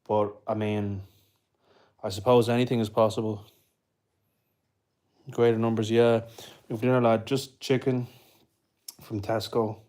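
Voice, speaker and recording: A man's voice: lowish voice, little pitch movement, measured pace, long pauses, a little mellow. Chatty young man on a phone livestream. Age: 20s. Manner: chatty, rambling, answers viewers' comments. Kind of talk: casual live-stream chat. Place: home indoors.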